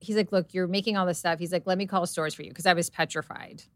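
A woman's voice: fairly low pitch, fairly even intonation, fast, dry recording. A middle-aged woman, a guest on an interview show, telling her story casually with lots of fillers and quoted inner thoughts. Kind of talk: casual chat.

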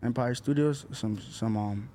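A man's voice: medium pitch, measured pace, dark tone, a little hiss or hum. An eighteen-year-old man who raps, chatting casually in slang with lots of fillers. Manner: laid-back street slang, lots of fillers. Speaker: rapper. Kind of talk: casual interview chat.

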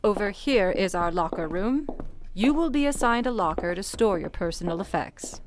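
A woman playing a wry, brisk chief telephone operator in an old-time radio play, confiding and instructive. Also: medium pitch, expressive, noisy background, close to an everyday voice. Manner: dry-humoured, confiding, instructive.

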